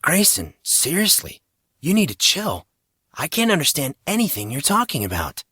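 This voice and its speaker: A man's voice: a little high, huge pitch swings, normal speed, bright, forceful tone, slightly rough, no room sound, clean studio sound, pitched above the performer's own voice, full character voice. A man voicing a blunt, anxious young hero of a fantasy audiobook, impatient and full of questions. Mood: exasperated.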